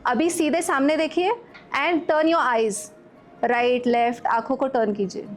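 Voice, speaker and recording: High-voiced woman coaching a group. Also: a little slow, faint music behind. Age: 40s. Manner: lively, questions the audience and gives step-by-step instructions; mixes Hindi with English phrases. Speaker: wellness coach leading a training session. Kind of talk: live workshop talk to a group.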